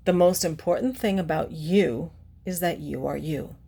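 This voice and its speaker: A woman's voice: low-pitched, normal speed, gravelly, warm tone, husky. A woman reading a picture book aloud to children, adding simple teacherly explanations and putting questions to the listener. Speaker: children's read-aloud presenter.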